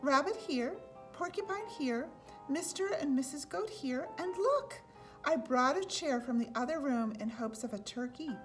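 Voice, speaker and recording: A welcoming lady moose, as a woman storyteller plays her. She speaks in a fairly high voice, polite and fussing over guests in children's storybook style. There is light music behind. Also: lively intonation, unhurried. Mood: gracious, happy. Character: gracious, welcoming female moose hostess.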